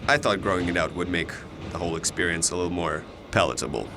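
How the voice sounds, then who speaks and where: A man's voice: fast talker, over music. A man acting in a naturalistic audio drama, talking in a casual, slangy way. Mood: wry. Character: young male university student commuter confronted by chatty stranger. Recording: faint train noise. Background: subway car rumble.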